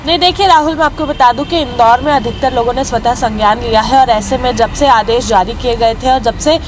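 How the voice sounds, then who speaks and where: A woman's voice: fairly high pitch, brisk. A woman correspondent giving a live field report for television news from outdoors. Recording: noisy outdoor urban location with traffic.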